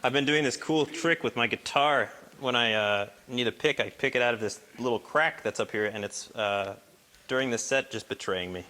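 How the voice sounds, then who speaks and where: A man making wry, halting casual banter between songs during a barn performance. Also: mid-range voice, bright, forceful tone. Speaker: singer and guitarist. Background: guitar music.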